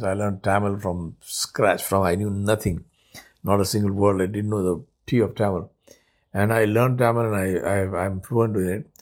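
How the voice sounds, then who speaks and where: Older Indian man, low steady voice. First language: Urdu. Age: 70s. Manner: unhurried, thoughtful, with frequent "uh" pauses and restarts. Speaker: podcast host. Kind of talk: reflective solo podcast monologue.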